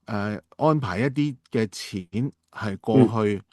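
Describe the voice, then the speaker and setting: A man playing a halting but persuasive businessman in a radio drama. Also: lowish voice, no room sound, studio quality. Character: smooth-talking businessman asking a partner for urgent money. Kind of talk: amateur Cantonese radio drama dialogue.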